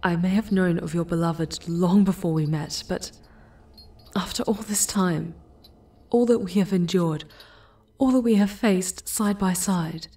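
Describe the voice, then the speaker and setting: Woman playing a slow, hushed ancient demoness, slightly distant. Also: medium pitch. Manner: hushed, breathy, halting delivery. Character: ancient female demon, proud but remorseful seductress. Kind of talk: dramatic ASMR roleplay acting.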